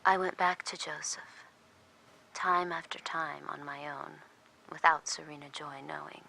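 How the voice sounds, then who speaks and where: An actress playing a bereft captive mother, narrating her thoughts in a breathy whisper for an audio drama. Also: deep voice, fairly even intonation, measured pace, background noise. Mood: confiding. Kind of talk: dramatic first-person narration.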